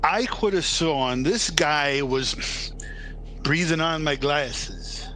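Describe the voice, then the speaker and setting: A man's voice: fairly high pitch, slow pace, bright, forceful tone. A man playing a nervous, reluctant working-class morgue attendant in an old-time radio drama, colloquial and stammering. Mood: uneasy. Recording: noisy, humming background.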